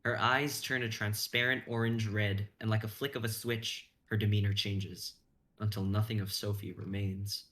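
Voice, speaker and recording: A man's voice: lowish voice, flat delivery, moderate pace, fairly bright tone. A young man reading story narration aloud in an intense, suspenseful manner. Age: young adult. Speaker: stream host and narrator.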